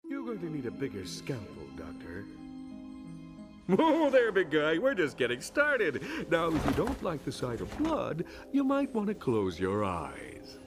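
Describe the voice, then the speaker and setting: Sadistic villain, gleeful, mocking, theatrical, fairly high, very animated, video game cutscene, music behind. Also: male voice, a little slow.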